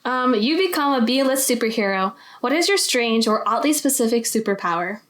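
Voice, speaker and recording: A woman's voice: a little high, lively intonation, moderate pace, a bit bright. A young woman hosting a casual interview in an informal, giggly, chatty manner. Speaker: interview host. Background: music.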